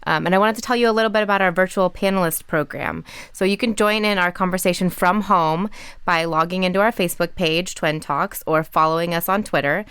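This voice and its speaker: A woman's voice: lowish voice, a little fast, fairly bright tone, clean tone, no echo. A thirty-year-old woman chatting casually as she presents news on a podcast. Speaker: show producer and panelist.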